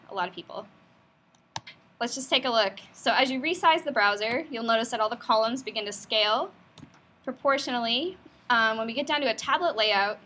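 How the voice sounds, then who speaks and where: A woman giving a conversational tech talk at an office meetup, friendly and upbeat, with plenty of fillers and questions to the audience. Her voice sits a little high and sounds bright and forceful. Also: slight background noise. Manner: casual with frequent fillers. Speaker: meetup presenter. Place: company office meetup room.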